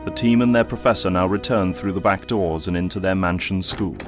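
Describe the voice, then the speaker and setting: A man's voice: deep voice, a little fast, faint music behind, lightly acted. A man narrating a scripted audio drama as a solemn storyteller.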